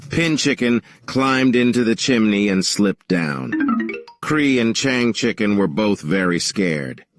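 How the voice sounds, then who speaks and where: A man's voice: on the low side, lively intonation, slow pace, faint music behind. A man narrating a scripted folktale for children. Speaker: story narrator.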